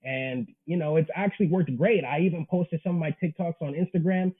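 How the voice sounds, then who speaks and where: A man in his twenties with a slightly high voice, heard through narrow, phone-like audio. He speaks casually and earnestly as an interview guest, using everyday slang. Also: a little fast, slightly rough. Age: 20s. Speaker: interview guest, manga creator.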